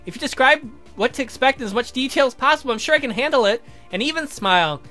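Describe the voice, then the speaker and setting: A man's voice: very high voice, lively intonation, fast talker, bright, forceful tone, faint music behind, pitched above the performer's own voice, exaggerated voice. A man voicing a willful young lady in a visual novel, the adoring bride of a vampire, sweet, eager and self-assured. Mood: confident. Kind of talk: voiced visual novel dialogue.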